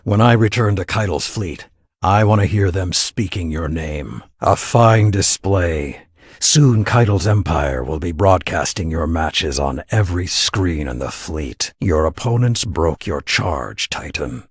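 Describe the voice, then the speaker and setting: A man playing a solemn, proud warrior lord announcing matches in a video game, measured and stately. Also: sits low, expressive, husky, no room sound, studio quality, exaggerated voice. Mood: proud, approving.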